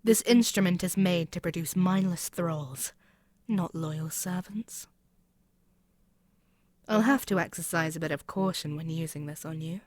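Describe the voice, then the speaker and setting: A woman's voice: fairly low pitch, unhurried, darkish tone, no room sound. A woman playing a haughty, scheming demon queen in an audio roleplay, taunting and menacing in a theatrical way. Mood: gloating, sinister. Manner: haughty, taunting, theatrical, dropping at times to near-whisper. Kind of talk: scripted ASMR audio roleplay, dramatic monologue.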